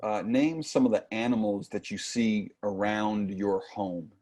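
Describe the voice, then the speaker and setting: A man in his forties leading a youth writing workshop over a video call, talking in a relaxed way with lots of fillers. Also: on the low side, a little slow. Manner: relaxed and conversational, frequent fillers. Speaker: workshop leader. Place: home room with bookshelves. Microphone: earphone mic on a video call.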